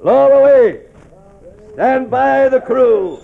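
An obsessed old sea captain in a radio drama, gravelly and commanding. He declaims slowly in grand archaic oratory, his high-set voice swinging widely. The sound is slightly muffled. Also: lively intonation, a crowd in the background, exaggerated voice. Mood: commanding, fierce. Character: obsessed, commanding old whaling ship captain, grim and fey. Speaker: ship's captain. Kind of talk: classic radio drama acting.

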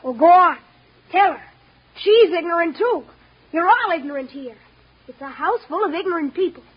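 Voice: extremely high pitch, animated, normal speed. A child actor playing a spiteful, bullying nine-year-old boy, insolent and sneering, in an old radio drama. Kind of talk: 1940s-50s radio acting. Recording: dull old radio broadcast recording.

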